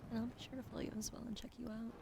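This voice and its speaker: Whispering young woman with a creaky voice. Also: fairly high pitch, little pitch movement, racing, slight background noise. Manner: soft whispered, thanking viewers, swearing casually. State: wheezy throat. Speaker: streamer. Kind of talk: ASMR whispering chat.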